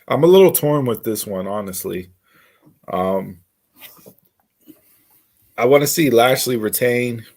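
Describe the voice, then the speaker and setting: A man speaks as a podcast co-host in a loose, conversational style. His voice sits at a middle pitch, and he gives emphatic opinions, often repeating phrases to drive them home. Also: animated, takes it slowly, long pauses, darkish tone. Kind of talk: casual wrestling predictions chat.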